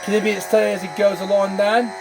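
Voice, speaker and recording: A man's voice: high voice, flat delivery, fast talker, gravelly, background noise. A man hosting a gaming channel, commenting on a racing management game while losing his voice, with a repetitive habit of saying "then" and "I'm afraid".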